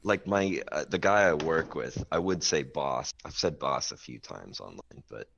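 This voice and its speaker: A man with a deep voice in a casual podcast chat, telling stories in an animated, sweary way. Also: normal speed, gravelly, a little hiss or hum. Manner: animated storytelling, swearing freely. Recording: dull, muffled lo-fi sound.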